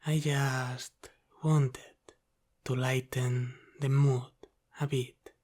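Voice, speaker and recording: Very slow, hushed Italian-American mafia boss, acted by a man. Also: medium pitch, flat delivery. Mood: lightly teasing. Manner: deliberate, halting, teasing, often dropping to a whisper. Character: teasing, menacing Italian-American mafia boss, calm and controlling. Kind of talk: slow ASMR roleplay, intimate.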